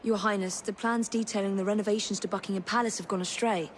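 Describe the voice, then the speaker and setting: A woman's voice: mid-range voice, steady tone, quick pace, slight background noise, close to an everyday voice. A woman playing a poised young Victorian Englishwoman with a British accent, determined and formal, in a video game. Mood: earnest, composed. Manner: formal, crisp phrasing. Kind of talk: video game cutscene acting.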